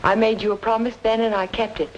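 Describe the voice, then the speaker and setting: An actress playing a determined, sharp-witted woman in a noir radio mystery, with crisp, insistent delivery. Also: on the high side, little pitch movement, fast, dull audio, slight background noise, close to an everyday voice. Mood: insistent, knowing. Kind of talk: old-time radio noir acting and narration. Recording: old radio drama with music behind.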